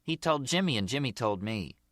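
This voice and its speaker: Man voicing a sly, brazen gangster's girlfriend, pitched up. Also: expressive, a little fast, fairly bright tone, dry recording, clean studio sound, lightly acted. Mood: coy. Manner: teasing, streetwise.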